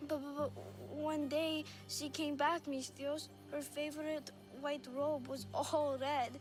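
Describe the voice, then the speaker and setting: A woman's voice: sits high, little pitch movement, slow pace, soft background music, rough recording. An actress playing an innocent, lonely little girl in a video game, earnest and playful. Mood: upset, sad. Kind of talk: video game cutscene acting.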